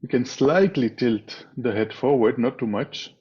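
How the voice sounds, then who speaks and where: A man leading a guided meditation as a teacher, speaking in a measured way with pauses between short phrases over a slightly dull video call. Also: medium pitch, darkish tone, slightly rough. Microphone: video call microphone.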